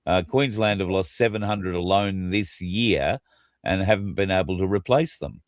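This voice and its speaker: A low-pitched Australian man co-hosts a casual livestream, speaking bluntly and swearing now and then. He reads out viewer comments. His voice sounds close and dry, with no room around it. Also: normal speed, no room sound. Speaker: co-host. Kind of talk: casual chat.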